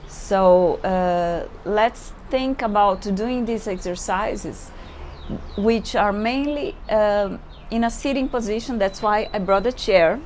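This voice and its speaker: A woman's voice: medium pitch, a little mellow. Brazilian woman yoga teacher, mid-range voice, hesitant non-native English, camera mic with faint room noise. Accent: Brazilian Portuguese. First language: Portuguese. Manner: hesitant, searching for English words, many fillers. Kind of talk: unscripted yoga introduction to camera. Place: indoors at home, seated on a chair.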